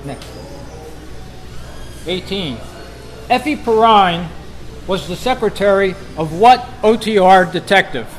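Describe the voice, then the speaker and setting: A man hosting a club quiz, reading trivia questions out to a group in a deliberate way and repeating them. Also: high-pitched, animated. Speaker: quiz host at a club meeting.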